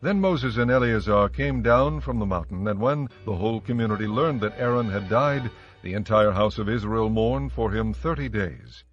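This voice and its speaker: A man narrating scripture from a script in a solemn, measured way. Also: on the low side. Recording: slightly muffled studio track with music.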